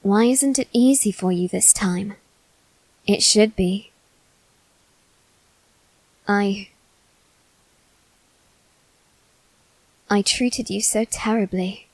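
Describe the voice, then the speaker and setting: A woman voicing a melancholy teenage noble boy, resigned to death, in a soft, deliberate ASMR roleplay monologue with dramatic pauses. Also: mid-range voice, dry recording, low-quality recording. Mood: pleading, regretful.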